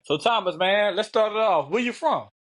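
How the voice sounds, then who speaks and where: A man hosts a laid-back chat show in a loose, easygoing way. His voice sits a little high for a man. He keeps the talk friendly and informal, full of casual fillers. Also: expressive, moderate pace, a bit bright. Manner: laid-back, fillers like "man" and "you know what I'm saying". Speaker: podcast host.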